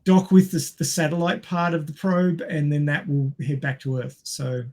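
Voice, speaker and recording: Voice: mid-range voice, moderate pace, a little mellow. A podcast panelist in casual group chat, speaking in a playful, explanatory way. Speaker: podcast panelist posing questions.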